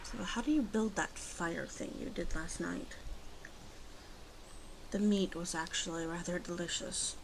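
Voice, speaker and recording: A woman voicing a guarded, grieving female werewolf in an ASMR roleplay, halting and brooding, often dropping to breathy half-whispers. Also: on the low side, a little hiss or hum, low-quality recording. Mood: subdued, softening. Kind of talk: ASMR roleplay monologue addressed to listener.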